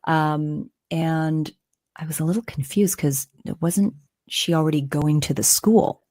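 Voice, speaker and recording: A woman's voice: deep voice, a little slow, darkish tone, no echo, studio quality. A middle-aged woman from Canada, a former news anchor answering as a guest in a live interview, earnest and emphatic as she tells a story.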